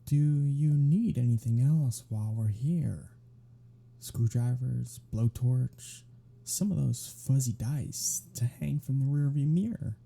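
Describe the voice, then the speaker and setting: A performer voicing a teasing, unrepentant vampire in an ASMR roleplay audio drama, with a husky, breathy voice and a sardonic, casual manner. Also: mid-range voice, slow pace. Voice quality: husky, breathy, dark-toned. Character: teasing, unrepentant vampire predator travelling with human hunter.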